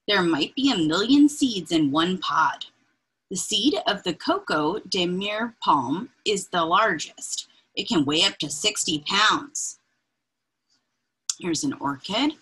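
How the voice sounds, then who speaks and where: A woman reading a children's picture book aloud in an expressive, deliberate, breathy way, adding informal asides as she points things out. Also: lowish voice, rough recording. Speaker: read-aloud reader. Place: indoors, small room with bookshelves.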